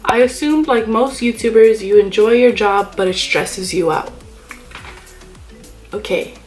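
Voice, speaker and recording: A woman's voice: mid-range voice, unhurried, darkish tone, faint music behind. A young woman YouTuber chatting casually in a vlog, rambling and thinking aloud about herself. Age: young adult. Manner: rambling and self-reflective.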